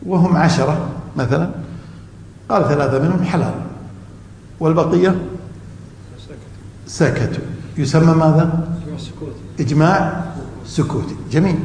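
A man's voice: a little high, darkish tone. A man teaching a class on religious law. He quizzes the students with half-finished questions and repeats the key terms. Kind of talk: classroom lecture. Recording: echoey hall with faint room noise.

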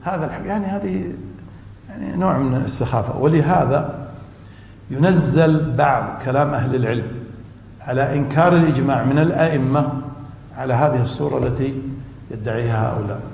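A man's voice: mid-range voice, brisk, vocal fry, long pauses, darkish tone. A man teaching a class on religious law. He quizzes the students with half-finished questions and repeats the key terms. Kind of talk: classroom lecture. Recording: echoey hall with faint room noise.

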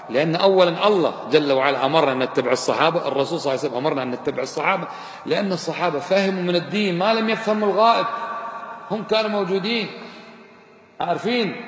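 Male Islamic teacher, slightly high pitch, didactic, repetitive questioning, echoey hall. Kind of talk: informal religious lesson, mixing formal and colloquial Arabic. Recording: echoey hall with long reverb.

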